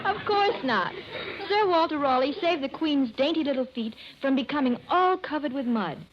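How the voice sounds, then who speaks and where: A gushing, genteel lady in 1940s radio comedy. Her voice is high and very animated. The old recording is dull and clearly noisy. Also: moderate pace. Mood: genteel, earnest. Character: refined, gushing lady teaching a man charm.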